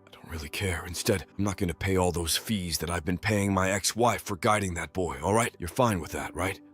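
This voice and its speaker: A man as a callous, low-voiced father. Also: a little fast, fairly bright tone, soft background music. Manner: blunt, dismissive. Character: cold, self-serving father who abandons his son. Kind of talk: anime dub acting.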